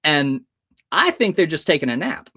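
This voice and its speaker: A man's voice: fairly high pitch, very animated, normal speed, sharp tone, no room sound, clean studio sound. A man in his thirties from the United States, a hypnotist guest telling stories in an interview and acting out the people in them. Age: 30s. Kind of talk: storytelling in an interview.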